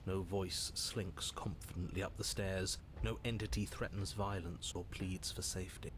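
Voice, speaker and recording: Guy doing a calm creepy game narrator, lowish voice, slow and measured, noisy game audio. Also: natural voice. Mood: ominous. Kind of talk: scripted second-person horror narration.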